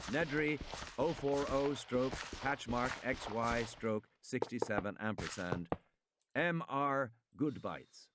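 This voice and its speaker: A man reading scripted audiobook narration with deliberate, precise enunciation. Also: mid-range voice. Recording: loud gunfire and clicking game noise behind.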